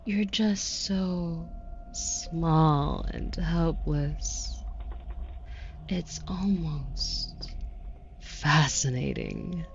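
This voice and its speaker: A woman's voice: low voice, very slow, gravelly, muffled recording, a little hiss or hum, full character voice. A woman playing a haughty, predatory demon buyer in an intimate audio roleplay, taunting with condescending, mock-sweet cruelty.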